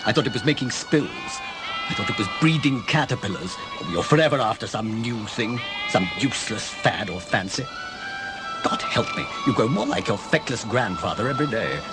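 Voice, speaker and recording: A man's voice: medium pitch, animated, normal speed, pauses often, loud music behind the voice, natural voice, low-quality recording. An actor playing a stern, scornful father in a radio play, scolding and exasperated. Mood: impatient, scornful. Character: stern, irascible Edwardian father, impatient and scornful.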